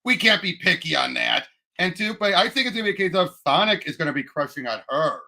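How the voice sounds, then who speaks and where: A man co-hosting a podcast, discussing a movie casually in an excitable, emphatic fan's way. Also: a little high, fast, creaky. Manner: excitable, emphatic fan talk. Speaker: podcast co-host.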